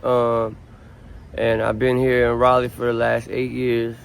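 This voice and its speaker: A man's voice: medium pitch, a little slow, creaky, smooth. Laid-back man rambling through a story. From Southern California. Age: 30s. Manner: relaxed, rambling, many ums and restarts. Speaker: interviewee. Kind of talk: casual street interview storytelling. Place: outdoors on a city street. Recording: faint street noise outdoors.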